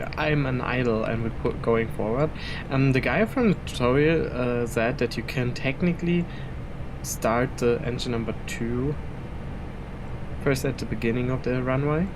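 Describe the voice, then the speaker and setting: A man's voice: medium pitch, noisy background. A young man, a native German speaker, chatting casually in English through a flight sim session at his home PC, rambling and chuckling between thoughts. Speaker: flight simulator streamer. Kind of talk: casual gameplay commentary.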